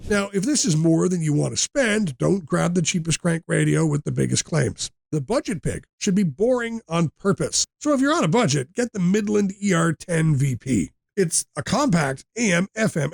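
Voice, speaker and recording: A man's voice: fairly high pitch, vocal fry, no echo, studio quality. A man presenting a scripted product review, speaking in short, direct, instructive sentences.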